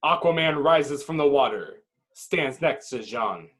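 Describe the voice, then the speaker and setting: A man's voice: mid-range voice, lively intonation, a little slow, a bit bright, breathy edge. A man reading stage directions as narrator at a table read, in dramatic, clipped phrases.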